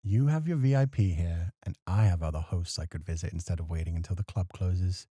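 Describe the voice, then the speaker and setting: A man's voice: deep voice, fairly quick, slightly rough, no echo, clean studio sound. A man playing a gracious, teasing professor moonlighting as a club host in a flirty ASMR roleplay. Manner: teasing, playful, softly courteous. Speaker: voice actor.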